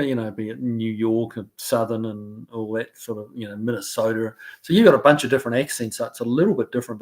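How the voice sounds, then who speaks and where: Chatty man with a softened New Zealand accent. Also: medium pitch. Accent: New Zealand, softened by years in the US. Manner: reads viewer comments aloud and answers them chattily. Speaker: live stream host. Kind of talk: casual live stream chat.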